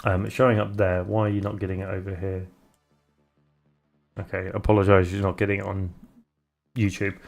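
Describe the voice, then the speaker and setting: A young British man streaming live, chatting casually and haltingly as he reads out viewer messages. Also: sits low, flat delivery, creaky, a little mellow, faint music behind. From Britain. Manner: rambling, halting, restarts often while reading viewer chat aloud. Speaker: live streamer and game developer.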